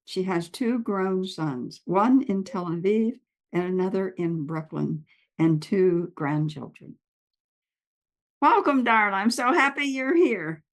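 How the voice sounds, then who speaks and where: A woman's voice: medium pitch, animated, takes it slowly. A woman hosting a conversational podcast, welcoming her guest and asking open, engaged questions. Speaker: podcast host.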